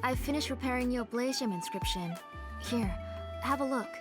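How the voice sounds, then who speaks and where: Woman playing caring mother, video game, fairly high voice, polite, nurturing, background music. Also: normal speed, natural voice. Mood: grateful. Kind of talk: video game character dialogue.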